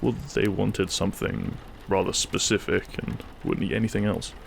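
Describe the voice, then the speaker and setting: A man playing a devoted, wry husband in an intimate audio roleplay, speaking in a dry, measured, fond way. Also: deep voice, steady tone, gravelly, background noise. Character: devoted, wry British husband sheltering a guest.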